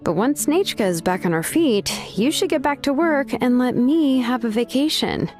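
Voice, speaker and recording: An actress playing a young woman smith in a game, easygoing and teasing, with soft game music behind her. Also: sits high, moderate pace. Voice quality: relaxed, casual, teasing. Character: young woman blacksmith, easygoing and laid-back. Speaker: name engraver of the tribe. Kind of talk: game dialogue.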